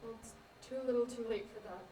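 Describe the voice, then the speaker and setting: A young woman in a student stage play, acting a bitter, wounded, defensive teenage girl with an emotional, halting, insistent way of talking. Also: on the high side, steady tone, mellow, recorded from a distance, close to an everyday voice. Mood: defensive, hurt. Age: young adult. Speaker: student actor in a one-act play. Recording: echoey hall from afar, faint noise.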